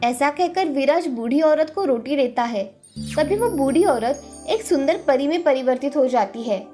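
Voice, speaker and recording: A woman's voice: sits high, animated, moderate pace, dark tone. A woman storyteller giving scripted narration of a children's fairy tale. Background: faint background music.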